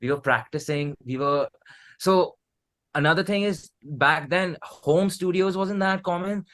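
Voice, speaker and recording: A man's voice: a little high, a little slow, fairly bright tone. A man in his thirties with an Indian accent, chatting casually as an interview guest. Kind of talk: casual chat.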